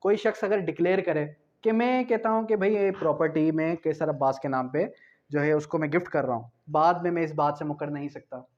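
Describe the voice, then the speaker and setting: High-pitched Pakistani man lecturing, dull audio. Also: fairly quick, a little mellow. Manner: didactic, asks questions and answers them himself; switches between Urdu and English terms. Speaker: law lecturer. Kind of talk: explanatory exam lecture.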